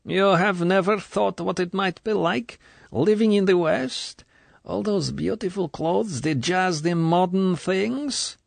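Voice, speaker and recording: Guy doing a fake-sweet lady colonel, smooth but cold, audiobook. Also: sits high, slow pace, dry recording, pitched above the performer's own voice, heavily acted. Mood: probing. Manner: falsely sweet, smooth, then cold and commanding. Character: sugary, menacing middle-aged female Soviet colonel.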